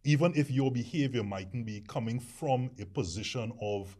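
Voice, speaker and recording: A deep-voiced man in casual discussion, rambling with frequent restarts. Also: normal speed, dark tone. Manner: rambling, hesitant with many restarts. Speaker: discussion panelist.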